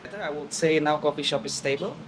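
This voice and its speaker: A man's voice: a little high, noisy background. Man in his thirties from Borneo. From Borneo, Indonesia. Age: 30s. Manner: plain, halting non-native English with many "uh"s. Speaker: coffee shop and bakery owner. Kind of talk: casual interview answers.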